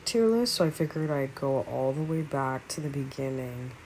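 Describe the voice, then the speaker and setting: A woman's voice: rumbling low, expressive, normal speed, darkish tone, a little hiss or hum, poor audio. A woman with a cold and a nasal voice, chatting casually and rambling with many fillers while making a music tier list. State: congested with a cold. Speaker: music vlogger. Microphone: phone.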